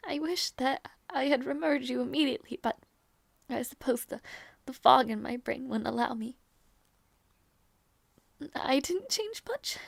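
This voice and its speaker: A woman playing a gentle, earnest young princess in a romantic fantasy audio roleplay, speaking softly and hesitantly with tender affection. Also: sits high, animated, creaky, no room sound, full character voice. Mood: teasing, rueful. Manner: soft, hesitant, tender, halting delivery.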